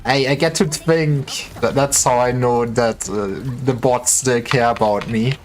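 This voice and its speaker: A man's voice: medium pitch, fairly even intonation, unhurried. A man livestreaming games, chatting casually in a joking, rambling way with some stammering. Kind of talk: casual gaming livestream chat.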